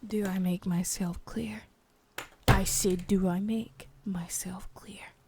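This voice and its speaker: Woman playing a gravelly sadistic boss. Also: medium pitch, fairly even intonation, slow, background noise. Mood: menacing. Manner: soft whispering, mixed with spoken threats; taunting and threatening. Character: sadistic, menacing female boss, cold and taunting. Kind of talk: ASMR roleplay.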